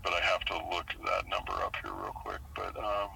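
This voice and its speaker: A man speaking as a police sergeant on a phone call, procedural and halting, repeating and checking details. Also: low voice, big pitch swings, gravelly, bright, forceful tone. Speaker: police sergeant handling a complaint. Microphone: recorded phone call. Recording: noisy telephone line.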